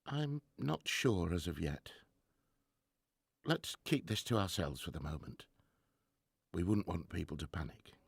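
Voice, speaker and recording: A man's voice: deep voice, animated, a little slow, gravelly, pauses often, slightly rough, no echo, clean studio sound, heavily acted. An actor playing a stern, driven widowed professor and father in a 1920s radio drama, with a formal, precise delivery. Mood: grave, businesslike. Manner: formal, precise, clipped scolding. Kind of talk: radio drama acting, diary narration. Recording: music under the voice.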